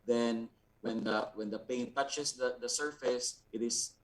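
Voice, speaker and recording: A man with a Filipino accent explaining a lesson as an art instructor, deliberately, repeating words and checking with short tags. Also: medium pitch, fairly even intonation. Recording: distant, off-mic sound.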